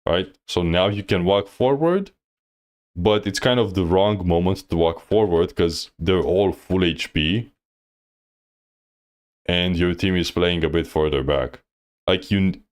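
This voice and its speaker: A man coaching on a live stream, reviewing gameplay bluntly with lots of fillers. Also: sits low. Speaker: game coach.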